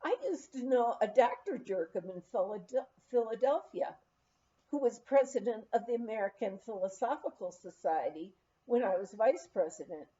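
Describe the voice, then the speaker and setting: A courteous, dignified gentleman scientist as a woman reader plays him speaks with formal puzzlement in a memoir. The voice is fairly high and mellow, on a slightly muffled recording. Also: lively intonation, moderate pace, lightly acted. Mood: puzzled. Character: dignified portly male observatory director, puzzled and courteous.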